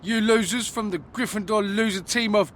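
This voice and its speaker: A man's voice: sits high, moderate pace, a bit bright, a little hiss or hum. A male actor playing a sneering, taunting teenage boy bully in a video game. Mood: jeering. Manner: taunting, jeering. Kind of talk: scripted cinematic game acting.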